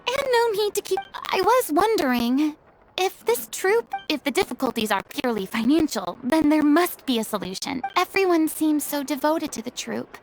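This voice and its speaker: A proud young former stage star in a video game. The voice is very high with some creak at the edges. The delivery is grandiose, eloquent and dramatic, with music underneath. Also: female voice, sing-song, normal speed, rough recording. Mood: reassuring.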